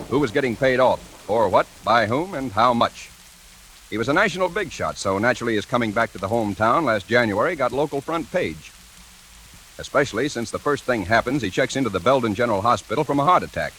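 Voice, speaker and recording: An actor playing a young, wisecracking reporter in a breezy 1940s radio noir style. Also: male voice, mid-range voice, a bit bright, slight background noise, close to an everyday voice. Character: young wisecracking male newspaper reporter narrating noir tale. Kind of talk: 1940s radio drama acting, hardboiled narration.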